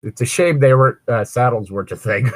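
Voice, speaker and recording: Low-voiced, sweary man riffing casually. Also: fairly quick, dry recording. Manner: sweary, wry, digressive. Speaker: co-host of a fan fiction reading show. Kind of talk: casual joking commentary while reading a story aloud.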